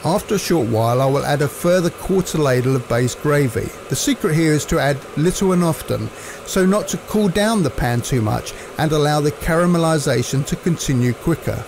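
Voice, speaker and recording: A man presents a recipe step by step. His voice sits a little high and has a lot of creak in it, and he explains each stage in a measured way. Clear cooking noise runs behind him. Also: lively intonation. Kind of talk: instructional recipe narration.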